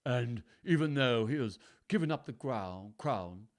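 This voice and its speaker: A man's voice: medium pitch, lively intonation, measured pace, close to an everyday voice. A man voicing a resentful, scheming princess in a storybook reading, complaining pointedly. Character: resentful scheming princess, a grown daughter of a king.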